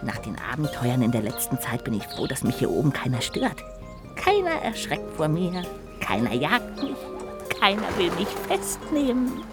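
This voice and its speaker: An actress playing a small, childlike, chatty little ghost in a children's audio drama, exclaiming and musing to itself expressively. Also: female voice, deep voice, huge pitch swings, normal speed, over music. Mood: contented.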